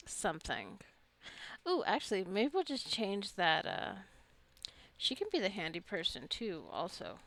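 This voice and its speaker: A woman's voice: mid-range voice, fairly bright tone. A woman giving casual gameplay commentary, rambling and thinking aloud as she plays. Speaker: gamer narrating her own gameplay.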